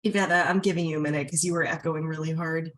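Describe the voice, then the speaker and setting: A woman hosting an event in a casual, chatty way, speaking haltingly. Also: low-pitched, fairly even intonation. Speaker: event host.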